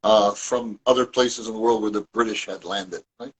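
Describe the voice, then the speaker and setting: A man's voice: fairly low pitch, fairly even intonation, moderate pace, husky, low-quality recording. A man from Toronto, a guest and author, telling his family history in a rambling, casual way with many restarts and asides. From Toronto, Canada. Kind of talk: casual conversational storytelling.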